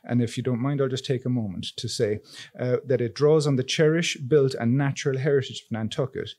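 An Irish man in his thirties speaks at a podium microphone in a meeting hall with an audience. He presents to the public, sometimes reading from a prepared statement. His voice sits at an ordinary pitch. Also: brisk, darkish tone. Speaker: town coastal resilience coordinator.

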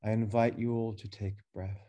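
A man in his thirties reading a prepared keynote as curator over a webcam video call, slow, measured and reflective, with pauses. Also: on the low side, flat delivery, dark tone. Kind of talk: reading a prepared lecture text. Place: dark room indoors.

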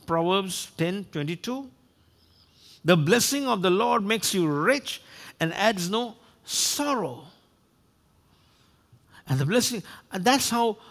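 A man's voice: sits high, a bit bright. A man preaching a sermon emphatically, repeating phrases for effect, on a headset mic. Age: 60s. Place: church stage, indoors.

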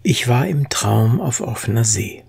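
A poetry reader with a very husky, breathy voice and a creaky edge. The delivery is slow, solemn and expressive, with each phrase set down deliberately. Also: mid-range voice. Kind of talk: dramatic poetry recitation.

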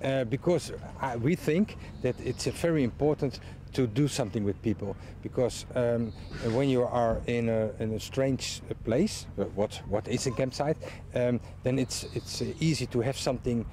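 A man with a Dutch accent gives interview answers, haltingly searching for words in a second language. His voice is dark and relaxed. There is faint outdoor noise behind him. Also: mid-range voice. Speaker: campsite manager being interviewed. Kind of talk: interview answers in non-native English. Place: outdoors among trees.

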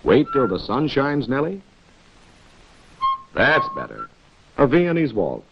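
Wry american guy narrating an old 40s training film, swoopy pitch, scolding the characters, muffled film sound. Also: wildly swooping pitch, takes it slowly, vocal fry, background noise. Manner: wry, chiding, rhetorical. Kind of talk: 1940s instructional film narration, addressing characters directly. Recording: dull 1940s film soundtrack, music.

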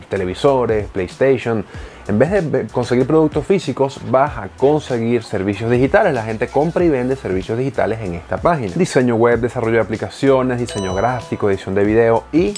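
Animated man explaining straight to camera. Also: medium pitch. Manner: animated, gestures a lot, addresses viewer directly. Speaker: online business youtuber. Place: home office with bookshelves, indoors.

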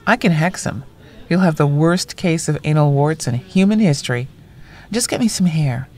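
A woman's voice: sits low, normal speed. An actress playing a blunt, playful woman near forty in audio drama dialogue, speaking directly. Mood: mischievous. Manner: direct, animated delivery.

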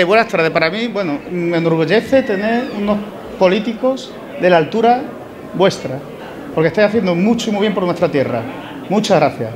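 A middle-aged man giving a lively public speech at a fair opening, slipping in Portuguese words. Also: high-pitched. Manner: animated, rhetorical, slips into Portuguese words. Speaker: event organizer speaking at a fair opening. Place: indoor exhibition stand at a fair. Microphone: handheld mic and press mics on stands.

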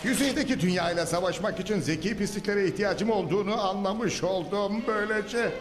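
A man's voice: high-pitched, a little fast, lightly acted. Man voicing a gloating sewer czar villain. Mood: brooding. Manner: gloating, theatrical speeches. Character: scheming bald male sewer czar villain, grandiose. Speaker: villain in a dubbed cartoon. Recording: echoey reverb with music.

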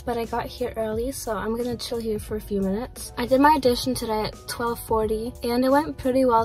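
A teenage girl vlogging casually to her phone from a parked car, chatty and relaxed, still getting over a cold. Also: fairly high pitch, rough recording. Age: teens. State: recovering from a cold. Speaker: vlogger, high school student. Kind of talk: casual vlog chat to camera. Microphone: phone camera at arm's length.

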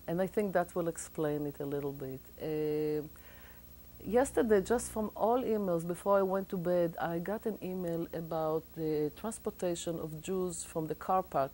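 A woman's voice: fairly low pitch, moderate pace, dark tone, low-quality recording. A middle-aged Israeli woman with an Israeli accent, explaining as a guest in a TV studio interview, with many thoughtful hesitations.

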